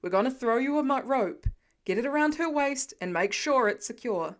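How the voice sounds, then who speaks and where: A woman voicing a seasoned male firefighter, gruff and steady, who gives clipped commands in an audiobook story. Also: high-pitched, normal speed. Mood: urgent, commanding.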